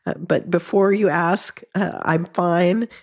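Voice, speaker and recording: A woman hosts her own podcast in an easy, earnest, conversational manner. She is congested from a head cold, and her throat sounds raw and slightly hoarse. Her voice sits on the lower side. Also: steady tone, slow. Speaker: podcast host. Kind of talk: solo podcast talk with ad read.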